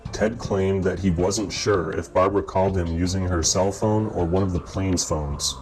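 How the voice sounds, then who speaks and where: Deep-voiced man narrating over guitar music. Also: fairly even intonation, normal speed, low-quality recording. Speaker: documentary narrator. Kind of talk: scripted narration.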